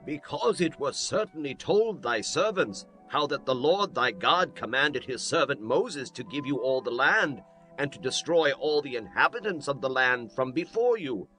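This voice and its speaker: An actor playing a humble, wily ancient envoy who pleads solemnly and deliberately in a dramatized scripture audiobook. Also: male voice, medium pitch, sing-song, pushed and bright, soft background music, rough recording. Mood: fearful submission. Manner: solemn, deliberate biblical delivery.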